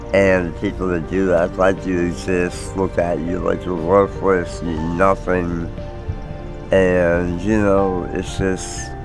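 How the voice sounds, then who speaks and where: Deep, slow-talking American man in his forties. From Maryland, United States. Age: 40s. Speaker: homeless interviewee. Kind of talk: personal testimony on a street interview.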